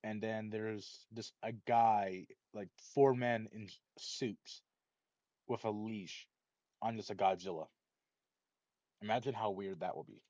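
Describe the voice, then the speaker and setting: A man's voice: on the low side, fairly even intonation, slow pace, darkish tone, no echo. A man reading captions aloud and musing on them in an informal, rambling way, with the odd casual swear word. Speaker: reaction video host. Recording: dull, lo-fi mic with faint noise.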